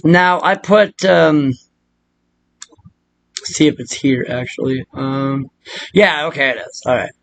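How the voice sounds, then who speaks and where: A man hosting a casual software tutorial, rambling and muttering asides to himself in a crude, joking, profane way. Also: a little high, takes it slowly, creaky, long pauses, slight background noise. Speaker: video tutorial host.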